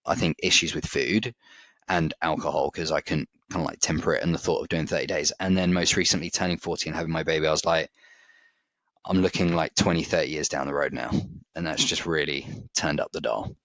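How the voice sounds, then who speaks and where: A man in his forties with a very low voice, chatting candidly about his own life and laughing easily. The recording is dry and a touch dull. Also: steady tone, a little fast, fairly bright tone, dry recording. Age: 40s. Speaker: podcast co-host. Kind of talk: casual chat. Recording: dry, slightly dull audio.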